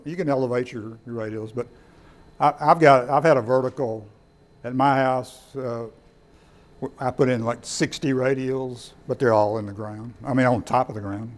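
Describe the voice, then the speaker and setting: A man's voice: mid-range voice, normal speed. A man presenting informally at a meeting, explaining in a conversational way and often repeating words as he goes. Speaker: presenter of radio kits at a club meeting. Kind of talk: informal presentation with audience questions. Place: indoor meeting room.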